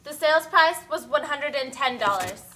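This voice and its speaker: High, bright woman as puppet-show explainer. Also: animated, moderate pace, a little hiss or hum. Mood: matter-of-fact. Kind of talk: scripted educational narration.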